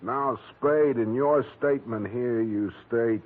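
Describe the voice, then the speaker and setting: A man's voice: medium pitch, slow, close to an everyday voice. An actor playing a plodding, officious male police captain in a 1940s radio drama. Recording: tinny 1940s radio recording.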